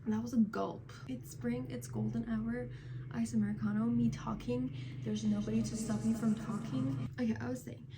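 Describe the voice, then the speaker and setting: A woman's voice: on the high side, steady tone, normal speed, background noise. A young woman in her twenties with a light Persian accent, chatting casually and rambling to camera about learning languages. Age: 20s. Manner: chatty and rambling, self-deprecating asides. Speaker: vlogger. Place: indoors at home at golden hour, with iced coffee.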